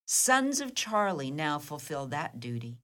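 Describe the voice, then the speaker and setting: Radio comedy whodunit casting: actress for sardonic, haughty woman suspect, slightly low voice, wry and defiant. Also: very animated, unhurried, fairly bright tone, heavily acted. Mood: haughty, proud. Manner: wry, haughty, clipped retorts. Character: defiant, flippant, sardonic woman under police questioning. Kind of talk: comedic radio play dialogue.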